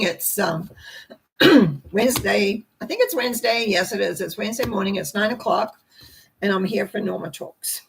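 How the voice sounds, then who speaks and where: Hoarse, croaky older woman. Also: medium pitch, fairly bright tone. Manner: rambling, folksy explaining with asides. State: hoarse after a treatment, clearing throat. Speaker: host, tarot reader and spiritual teacher. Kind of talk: informal spiritual talk to camera.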